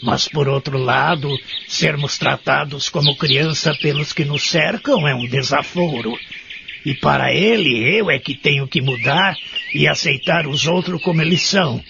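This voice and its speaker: An actor playing a proud, lonely widowed man in his eighties in an audio soap, with a gravelly voice and brooding manner. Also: a little high, unhurried. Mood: brooding, resentful. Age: 80s. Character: lonely 83-year-old widowed care-home resident, proud and resentful. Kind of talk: audio soap narration and dialogue. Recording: dull lo-fi audio with clear noise.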